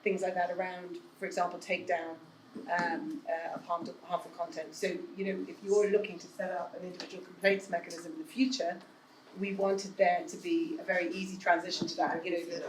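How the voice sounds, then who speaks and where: Low-voiced woman in an echoey room. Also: a little hiss or hum. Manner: earnest, measured, with many hedging fillers. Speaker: panelist. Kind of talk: panel discussion. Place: event room with an audience. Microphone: handheld mic. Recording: echoey room with faint ambience.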